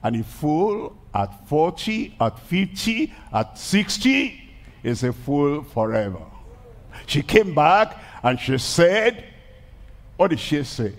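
A man's voice: on the high side, sing-song, takes it slowly. An older man with a Nigerian accent preaching an emphatic crusade sermon into a headset mic on a large outdoor stage. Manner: emphatic preaching, rising to shouted declarations. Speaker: pastor.

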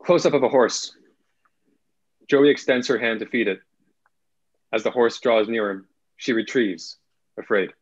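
A young man reading screenplay stage directions in a plain, measured way as narrator. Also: medium pitch, slightly rough. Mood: measured, neutral. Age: young adult. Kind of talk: scripted narration, table read. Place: small room at home, on video call. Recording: dull video call audio.

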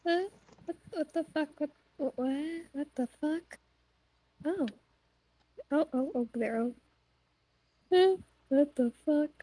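High-pitched, bewildered jester girl acted by a woman. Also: slow, long pauses, dark tone, a little hiss or hum, poor audio. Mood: bewildered panic. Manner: stammering, repetitive, incredulous. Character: panicked, bewildered small female jester, foul-mouthed newcomer. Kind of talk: amateur cartoon fan dub.

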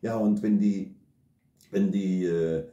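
An older man calmly explaining bushcraft cooking step by step at a living-room table, often ending with "ne?". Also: sits low, slow, dull audio. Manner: calm, step-by-step explaining with frequent tag "ne?". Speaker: bushcraft expert and father. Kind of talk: relaxed explanatory chat. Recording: echoey room.

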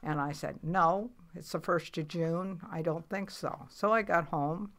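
Gravelly older woman telling fishing stories. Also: fairly low pitch, fairly even intonation, unhurried. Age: 80s. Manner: rambling, matter-of-fact, many fillers and restarts. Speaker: guest, commercial fisher. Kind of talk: casual storytelling interview.

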